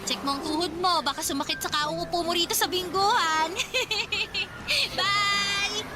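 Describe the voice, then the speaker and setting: A woman's voice: very high voice, measured pace, heavy background noise. A woman playing a playful, outgoing teenage cousin in an audio drama, with a breezy, teasing manner. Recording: loud music under the voice. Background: music.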